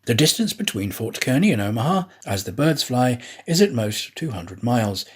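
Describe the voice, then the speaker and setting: A man narrating a classic adventure story in a measured, expressive storytelling manner. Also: mid-range voice, breathy edge. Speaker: audiobook narrator, actor.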